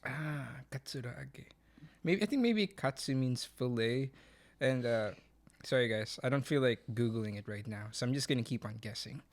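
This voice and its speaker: A young man with a Filipino accent chats casually on a podcast. He rambles, drifts off on jokey tangents and keeps an easy, mid-pitched voice. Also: normal speed. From Philippines. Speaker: podcast co-host. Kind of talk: casual chat.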